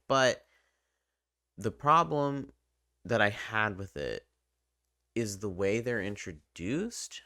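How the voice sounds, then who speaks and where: A man talking casually and unscripted as a game reviewer, halting and drifting into asides. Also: sits low, bright, forceful tone, no echo, clean studio sound. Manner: halting, conversational, trailing into asides. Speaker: video game reviewer and channel host.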